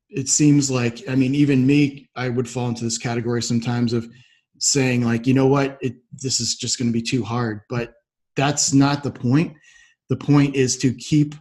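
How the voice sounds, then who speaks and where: A man's voice: mid-range voice, darkish tone. A man hosting a conversational podcast interview, agreeable and thoughtful, rambling a little as he develops his points. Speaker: podcast host.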